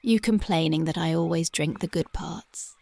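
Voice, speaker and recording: A voice actress playing a young punk girlfriend, speaking softly and whispering in a dreamy, intimate, affectionate ASMR roleplay close to the mic. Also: deep voice, normal speed, creaky. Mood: tender dreamy. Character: young punk woman, tender devoted girlfriend.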